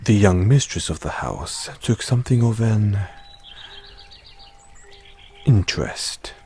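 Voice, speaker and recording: A man's voice: lowish voice, lively intonation, takes it slowly, a little mellow, faint music behind. A man playing a devoted, formal butler with a painful past, speaking solemnly and deferentially in a scripted audio roleplay. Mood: solemn, restrained.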